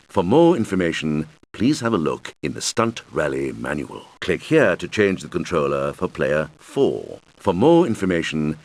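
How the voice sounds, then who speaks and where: Deep, animated game guide. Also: male voice, heavily acted. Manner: instructional, clearly addressed to children. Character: helpful male game guide instructing young players. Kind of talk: scripted game instructions.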